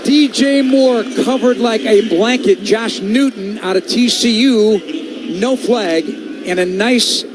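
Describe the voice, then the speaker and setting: A man's voice: sits high, slow pace, crowd noise. A man calling football play-by-play live on the radio in clipped, vivid descriptions. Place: stadium broadcast booth. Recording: live booth with clear background noise.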